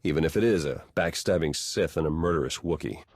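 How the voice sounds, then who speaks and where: A man's voice: extremely low pitch, a little fast, a little mellow, breathy edge, no room sound, exaggerated voice. An actor playing a wry, confident, easygoing male spy in a space opera video game. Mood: wry, bantering.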